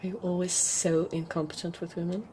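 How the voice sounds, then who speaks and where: An actress playing a cool, guarded young nurse in a radio drama, dry and direct. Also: female voice, on the low side, level tone, brisk, warm tone, lightly acted. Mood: cool, dismissive. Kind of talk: naturalistic radio drama acting. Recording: radio drama with background noise.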